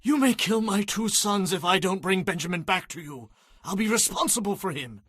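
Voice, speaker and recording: Exasperated eldest brother, male actor: insistent, earnest, higher-pitched, bright, audio Bible drama. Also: expressive, moderate pace, husky, no room sound, clean studio sound, full character voice. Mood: earnest vow.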